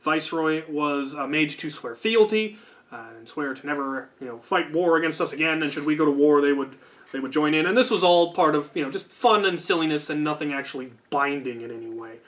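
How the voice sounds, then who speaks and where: A man's voice: on the high side, fairly quick. A man hosting a storytelling channel, talking in a casual, unscripted, discursive way with wry asides.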